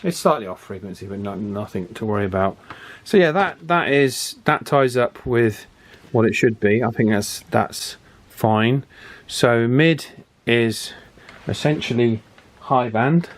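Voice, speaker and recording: A man's voice: medium pitch, expressive, a little slow, a little hiss or hum. A man giving casual hands-on repair commentary, thinking aloud in a hesitant, methodical way and repeating himself as he works. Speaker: radio repair hobbyist presenting a video.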